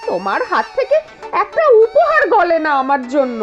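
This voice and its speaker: A woman's voice: very high voice, huge pitch swings, normal speed, highs cut off, soft background music, heavily acted. A woman voicing a hot-tempered, dramatic Bengali housewife in a cartoon. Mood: angry, scolding. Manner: scolding, dramatic. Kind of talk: cartoon voice acting.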